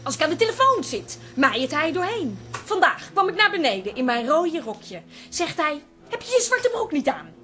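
Young adult daughter, high lively voice, exasperated and sardonic, radio comedy-drama. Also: female voice, normal speed, heavily acted. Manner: complaining, mimicking, sardonic. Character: pert, exasperated grown daughter living with her father.

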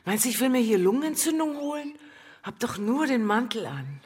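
An actress playing a brazen, mocking, hard-bitten prostitute in a German radio play, blunt and taunting. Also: female voice, a little high, very animated, fairly quick, darkish tone, slight background noise. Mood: brusque, flirtatious. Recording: long reverb with music behind.

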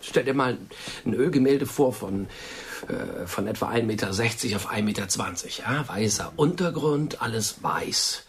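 A man's voice: mid-range voice, moderate pace, hardly any pauses, breathy edge. An actor playing an exasperated, opinionated man in a German radio play, speaking in an agitated, emphatic way. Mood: impatient, irritated. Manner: agitated, emphatic, repeating himself indignantly. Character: exasperated, opinionated man fretting over a friend.

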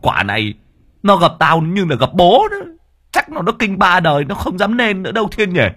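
A man voicing a cowardly, stammering old man, whining and shrieking high. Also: big pitch swings, moderate pace, bright, forceful tone, slightly rough, full character voice. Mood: gloating.

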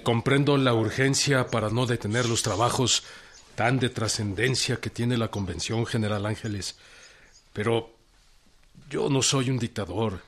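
General revolucionario mexicano, voz ronca, tono medio, pausado y firme, radioteatro. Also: male voice, medium pitch. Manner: calm and deliberate, with chuckles. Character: firm, plain-spoken revolutionary general, middle-aged. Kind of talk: radio drama acting.

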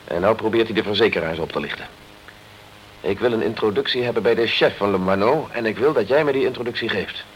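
Male actor as determined amateur investigator, terse, earnest, somewhat low pitch, crime radio drama, slight background noise. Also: fast talker, natural voice, rough recording. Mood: urgent. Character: determined, blunt British man fighting to clear an accused friend. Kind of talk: crime radio play acting.

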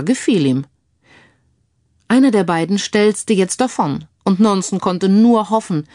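A woman's voice: lowish voice, mellow, dry recording, clean studio sound. A woman reading a scripted crime story as an audiobook narrator.

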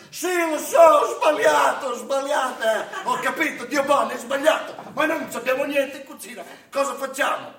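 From a little way off in an echoing room with faint noise comes a rambling, irreverent chef, played by a male stage actor. His voice is high and forceful. He delivers a sardonic theatre monologue in colloquial, exclamatory bursts, digressing and prodding listeners with small questions. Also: full character voice. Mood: excited, exclamatory. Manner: colloquial, digressive, exclamatory, punctuated with rhetorical check-ins. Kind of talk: comic theatre monologue, colloquial direct address. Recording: distant roomy live recording, faint noise.

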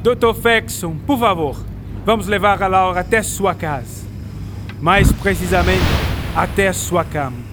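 A self-assured French novelist. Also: male voice, sits high, a little slow. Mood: earnest. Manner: assured and warmly formal. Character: confident, kindly male 19th-century French novelist. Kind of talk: radio drama acting.

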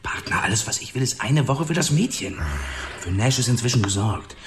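An actor playing a tired, wired police detective in a hardboiled radio drama, emphatic, pushy and colloquial. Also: male voice, mid-range voice, lively intonation, a little fast, natural voice. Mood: insistent.

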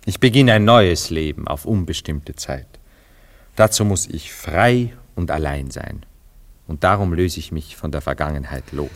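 An actor in a German radio play voicing a melancholy, sentimental philanderer in a solemn, wistful, theatrical manner. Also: male voice, very deep voice, measured pace. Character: melancholy, sentimental male philanderer parting with his past.

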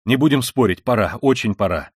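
Male reader as a feverish, proud young man: hushed and weary, dry close sound. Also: medium pitch, lively intonation, fairly quick, slightly rough, no room sound. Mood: pleading. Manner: quiet and weary, then bursts into shouting rage. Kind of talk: audiobook reading of a classic novel.

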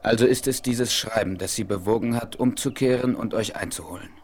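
An actor playing a noble, observant Apache chief in a 1970s radio play, speaking in a formal, measured, declamatory way. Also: male voice, medium pitch, natural voice. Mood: probing, grave. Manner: formal, declamatory, measured, addresses others in third person. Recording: noisy 1970s vinyl radio play rip.

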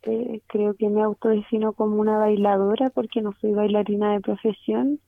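A woman's voice: medium pitch, flat delivery, normal speed, gravelly, no echo. A woman teacher and dancer answering radio interview questions over the phone, hesitant with many filler sounds. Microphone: telephone. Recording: tinny phone line.

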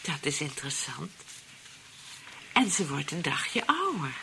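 Casting: an arch, scheming wife for radio drama, Netherlands Dutch accent, bright and very animated delivery. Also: female voice, low-pitched, unhurried, vocal fry, a little hiss or hum, full character voice. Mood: arch, prodding. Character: shrewd, impatient wife with an eye on inheritance. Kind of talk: radio play acting.

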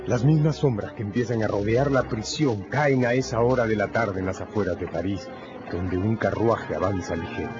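A man narrating a radio drama in a measured, solemn, dramatic scripted style. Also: on the low side. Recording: dull recording, loud music and crowd behind.

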